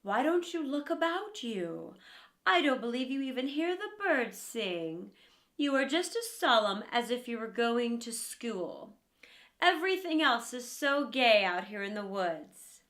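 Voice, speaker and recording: A cunning storybook wolf, acted out by a woman, greedy and coaxing. The pitch swoops about as he wheedles and schemes like a practised trickster. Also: sing-song, measured pace, a bit bright, exaggerated voice. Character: sly, greedy male big bad wolf.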